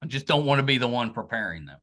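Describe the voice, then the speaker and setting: A man's voice: medium pitch, lively intonation, fast talker, a bit bright. A man hosting a casual interview, with folksy, easygoing conversational phrasing. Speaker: podcast interviewer, host.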